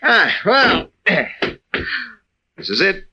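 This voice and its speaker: An actor playing a young American war veteran and newlywed husband, guarded yet teasing and affectionate, in 1940s radio drama style. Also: male voice, high voice, wildly swooping pitch, very slow, background noise, exaggerated voice. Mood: teasing, playful. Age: young adult. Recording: muffled old-time radio recording.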